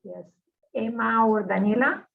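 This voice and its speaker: A woman's voice: fairly high pitch, unhurried, creaky. A woman program director introducing herself on a video call, courteous and a little hesitant. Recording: muffled video call audio.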